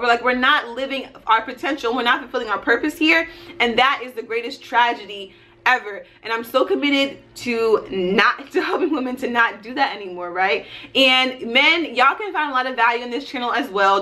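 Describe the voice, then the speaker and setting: Female, 30s, high voice. Coach-style YouTuber: casual, motivational, emphatic, folksy check-ins. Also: a bit bright. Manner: emphatic, folksy, often checking in with "okay?" and "right?". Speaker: YouTuber and life and business coach. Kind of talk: casual motivational vlog talk to camera.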